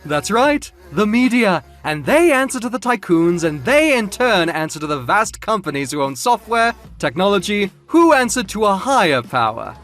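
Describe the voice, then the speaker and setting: Guy doing a camp elegant fashion boss, high and swoopy, condescending, smirky, audio drama with music behind. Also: big pitch swings, fairly bright tone. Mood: scheming, condescending. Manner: camp, effusive, condescending, drawn-out emphasis.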